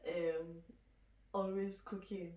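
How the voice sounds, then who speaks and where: A woman's voice: on the low side, takes it slowly. Young Nigerian woman, casual guest chat, laughs a lot, echoey room, distant mic. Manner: laughs often, chatty and animated. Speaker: guest student. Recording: echoey room from far off, faint noise.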